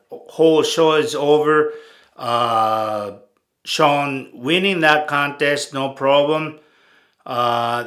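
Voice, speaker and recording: Bright-voiced Japanese-accented man, slow and halting. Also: medium pitch. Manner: halting, many fillers and restarts. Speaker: channel host. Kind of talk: casual spoken recollection.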